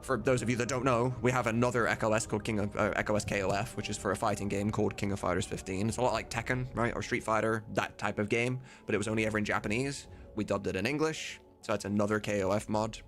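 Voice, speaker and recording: A man's voice: fairly low pitch, fairly even intonation, fast talker, hardly any pauses, a bit bright, soft background music. A man chatting casually and informally as a livestream host, with some mild swearing. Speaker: livestreamer and host of a game voice-dubbing mod team.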